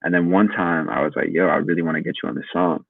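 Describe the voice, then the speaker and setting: A young man in his twenties with a deep, gravelly voice and a husky edge, chatting loosely as a guest and rambling through his stories with casual swearing. The sound is dry and thin, like a phone line. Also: quick pace, dry recording. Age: 20s. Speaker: guest musician. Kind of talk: casual interview chat.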